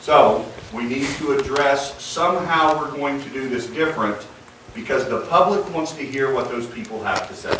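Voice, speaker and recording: Man, loud, blunt, emphatic, far from the mic, echoey room, noisy. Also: mid-range voice, normal speed. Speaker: staff member handling the meeting's live stream. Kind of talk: board meeting discussion. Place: school board meeting room.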